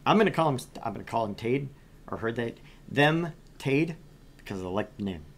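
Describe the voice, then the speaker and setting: A man's voice: medium pitch, lively intonation, moderate pace. A man streaming a game, chatting informally and jokingly as he plays and reads along. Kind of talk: casual livestream chat while playing and reading a game.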